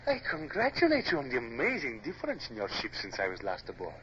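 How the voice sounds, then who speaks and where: A young Spanish captain, smug beneath formal courtesy. His delivery is silky and very animated, heard through a muffled, hissy vintage radio drama recording. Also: mid-range voice, quick pace.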